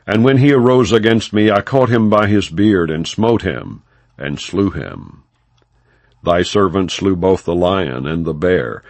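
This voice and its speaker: A man reads as a brave, devout young shepherd ready for battle in a scripture audiobook. The voice is low and earnest, declaiming archaic lines with a broad, animated swing in a dry recording. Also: dry recording. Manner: earnest, declamatory, old-fashioned scriptural speech. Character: bold, faithful young shepherd boy facing battle.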